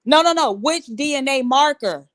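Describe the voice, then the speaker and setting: A woman on a talk show panel who pushes back with sharp, dismissive retorts. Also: sits high, animated, normal speed, over a noisy crowd.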